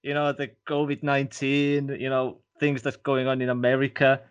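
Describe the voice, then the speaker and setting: A man who grew up speaking Estonian hosts a relaxed podcast interview in English, and his accent comes through. He talks in a loose, conversational way and often drops in "you know". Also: mid-range voice, normal speed, smooth, muffled recording. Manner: chatty, leans on "you know". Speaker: podcast host. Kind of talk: casual interview chat.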